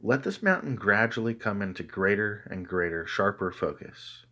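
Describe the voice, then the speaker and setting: A man narrating a guided meditation in a calm, measured way, with deliberate pauses between phrases. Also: lowish voice.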